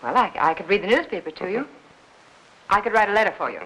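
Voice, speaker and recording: A woman's voice: medium pitch, sing-song, fast, fairly bright tone, breathy edge. An American actress playing a poised, spirited young woman in a 1950s film drama. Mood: cheerful, playful. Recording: faintly noisy 1950s film soundtrack.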